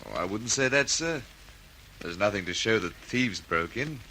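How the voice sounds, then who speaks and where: An actor playing a respectful, matter-of-fact police subordinate in an old radio detective drama. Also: male voice, on the low side, animated, moderate pace, bright, forceful tone, a little hiss or hum, poor audio. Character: respectful, plain-spoken male police subordinate.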